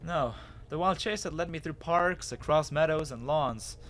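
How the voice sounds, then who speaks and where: A man giving an expressive, dramatic first-person reading of a story as its narrator. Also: on the high side, normal speed. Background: music.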